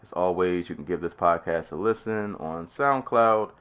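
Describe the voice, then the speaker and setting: A man's voice: low voice, normal speed, fairly bright tone, smooth. A man hosting a casual podcast while congested with a summer cold, laughing and coughing often. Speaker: podcast host.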